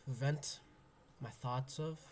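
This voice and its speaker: A young man of eighteen speaks English, slowly and haltingly, stopping often to search for words. His voice sits at a middle pitch, soft and introspective. A faint hum of room ambience is heard behind him. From Indonesia. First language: Indonesian. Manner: hesitant, halting, full of ums and restarts. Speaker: college freshman. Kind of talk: personal venting monologue.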